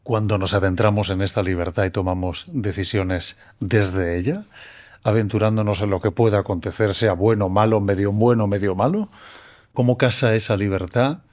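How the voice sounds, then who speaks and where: A man hosting an interview, asking measured, thoughtful questions. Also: on the low side, steady tone, darkish tone. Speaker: interviewer host.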